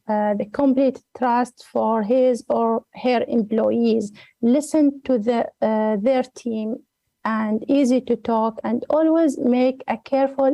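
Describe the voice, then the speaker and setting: Emirati woman explaining slowly, mellow and dry. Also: on the high side, no room sound. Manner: careful, methodical, many fillers. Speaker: guest, executive coach. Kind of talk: explaining a concept in an interview.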